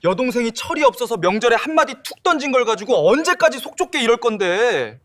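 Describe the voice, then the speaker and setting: A man's voice: very high voice, sing-song, a little fast, fairly bright tone, full character voice. A man voicing an exasperated husband in an audio drama, pleading and accusing. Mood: angry. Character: exasperated husband devoted to his mother.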